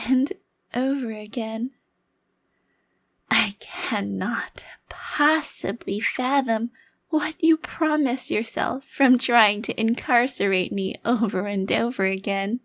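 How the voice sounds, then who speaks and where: A woman's voice: on the high side, no room sound. A woman playing a smug, taunting, youthful-looking vampire crime lord in an ASMR roleplay, with a theatrical, condescending delivery. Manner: theatrical, condescending, formal wording with drawn-out emphasis. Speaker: audio roleplay performer.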